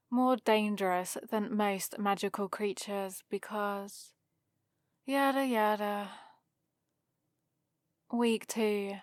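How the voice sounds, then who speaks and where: A woman's voice: medium pitch, dry recording. A woman playing a haughty, sarcastic centuries-old vampire librarian in a scripted ASMR roleplay, with a dry, theatrical delivery. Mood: smug triumph.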